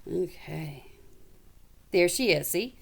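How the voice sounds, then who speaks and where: A woman's voice: lowish voice, expressive, takes it slowly, creaky, breathy edge. A woman, an elementary school teacher, reading a storybook aloud with folksy, informal asides and encouragement. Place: small space away from school, at a little desk.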